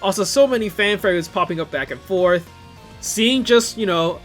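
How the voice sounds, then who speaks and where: Higher-pitched, animated man commentating. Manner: excitable, exclamatory, conversational asides. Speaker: video host commenting on visual novel openings. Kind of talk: casual enthusiastic video commentary. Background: music playing behind the voice.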